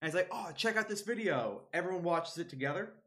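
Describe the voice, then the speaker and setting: A man's voice: sits high, expressive, quick pace, pushed and bright. A young man co-hosting a casual podcast, reminiscing in an easygoing way. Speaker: podcast co-host. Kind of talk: casual chat.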